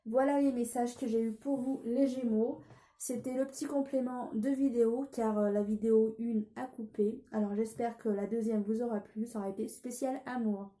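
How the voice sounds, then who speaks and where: A woman's voice: on the high side, steady tone, normal speed, mellow, roomy sound. French-speaking woman reading tarot, with a cold. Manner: hesitant, many fillers and restarts. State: has a cold. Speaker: tarot card reader. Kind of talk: casual tarot reading vlog.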